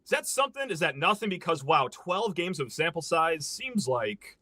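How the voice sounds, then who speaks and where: A man's voice: a little high, dry recording. A man hosting a sports podcast, chatting casually and joking with his guest. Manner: animated, joking, quick asides. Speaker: podcast host.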